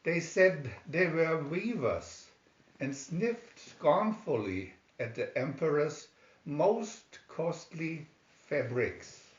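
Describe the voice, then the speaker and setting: Male storyteller, slow and deliberate, lively intonation, fairy tale narration, dull lo-fi sound. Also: on the high side. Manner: deliberate, expressive read-aloud delivery. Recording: roomy, dull lo-fi home recording.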